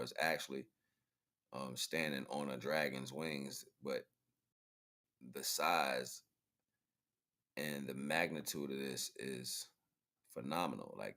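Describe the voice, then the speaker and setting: A man's voice: extremely low pitch, fairly bright tone. A man hosting a livestream, talking casually and informally through pictures, rambling and often checking in with the listener. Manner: informal, rambling, repeatedly checking in with "right?" and "you know what I'm saying". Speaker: livestream host.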